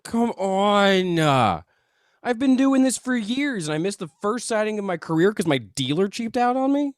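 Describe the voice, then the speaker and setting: A man's voice: sits high, sing-song, normal speed, dry recording, clean studio sound. A man acting a dejected stoner UFO hunter in a comedy table read, grumbling in a self-pitying slacker way. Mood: frustrated.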